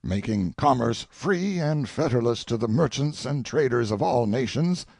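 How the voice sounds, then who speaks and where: A man's voice: mid-range voice, animated, moderate pace, creaky, darkish tone, dry recording, clean studio sound, voice pushed up. Man acting a swooping, indignant sanctimonious king. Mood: aggrieved. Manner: theatrical, exclamatory, emphatic swings. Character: indignant, self-pitying, sanctimonious old king ranting in defense. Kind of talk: dramatic audiobook monologue.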